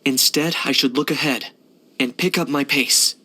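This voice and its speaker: An actor playing a cool, pragmatic young hunter for hire in a video game, deadpan, matter-of-fact and laconic. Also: male voice, medium pitch, normal speed. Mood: calm. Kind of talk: video game character voice lines.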